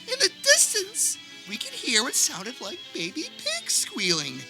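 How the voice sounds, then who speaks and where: Woman playing a shrill, melodramatic haunted man. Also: wildly swooping pitch, over music, heavily acted, poor audio. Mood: solemn, haunted. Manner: overwrought, drawn-out theatrical storytelling. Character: Frank, melodramatic man haunted by monster childhood trauma. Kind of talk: comedic radio play acting.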